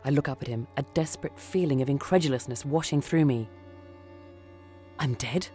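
A man's voice: mid-range voice, fast, dark tone, soft background music. A man reading a scripted first-person suspense story for radio. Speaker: story reader.

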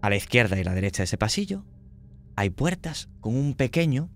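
A man's voice: medium pitch, sing-song, fairly quick. A man narrating a tabletop horror game as game master, speaking conversationally and checking in with his players.

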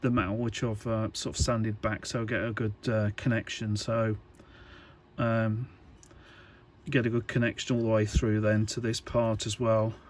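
A man giving an informal hands-on tutorial, talking in a halting, hesitant way as he thinks aloud. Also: fairly low pitch, flat delivery. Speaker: electronics hobbyist presenter.

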